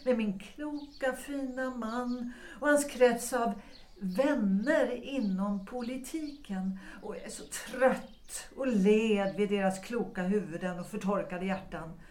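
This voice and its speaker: An actress playing an anguished, yearning unhappy wife in a period audio drama, speaking fervently with dramatic pauses. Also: female voice, a little high, a little mellow, close to an everyday voice. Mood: despairing, fervent. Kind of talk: period stage-play audio drama acting. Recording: roomy echo.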